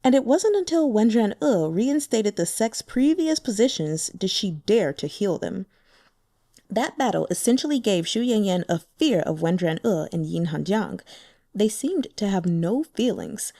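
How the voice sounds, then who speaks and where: A woman narrates a translated fantasy novel with lively, varied intonation and gives the characters their own voices. Her voice is mellow and warm, recorded close on a dry mic. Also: mid-range voice, a little slow, no echo. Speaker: audiobook narrator.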